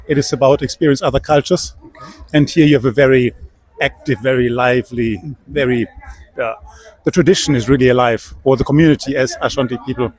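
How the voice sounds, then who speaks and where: German-accented man, mid-pitched, close dry sound. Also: a little mellow, no echo, poor audio. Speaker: tourist interviewee. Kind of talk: spontaneous interview answers.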